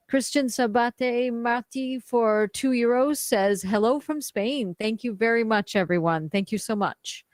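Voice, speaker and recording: A woman's voice: mid-range voice, no echo. A woman co-hosting a live stream, casually reading out viewer messages and giving thanks.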